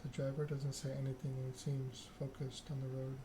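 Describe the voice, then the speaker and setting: A man streaming a game in soft ASMR style, reading story text aloud and chatting casually with viewers. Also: medium pitch, completely flat delivery, moderate pace, background noise. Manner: reads narrative text aloud, chats with viewers.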